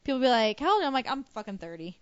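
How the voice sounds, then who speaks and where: A woman's voice: a little high, very animated, rapid-fire, clear, resonant tone, no room sound. A woman in her thirties co-hosting a casual comedy chat, giggling and telling stories with quoted voices. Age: 30s. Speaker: co-host. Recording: dull lo-fi live stream audio.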